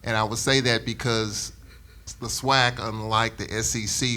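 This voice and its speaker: A man's voice: on the low side, sharp tone, a little hiss or hum. A middle-aged man, a radio sportscaster speaking off the cuff on a panel, emphatically and with repeated phrases for emphasis. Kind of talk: unscripted panel talk.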